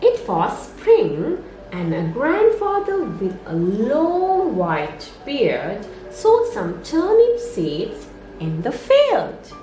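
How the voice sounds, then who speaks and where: A woman reading a children's story aloud as a nursery storyteller, in an expressive, sing-song way meant for young children. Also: a little high, drawn-out words. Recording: roomy echo, music underneath.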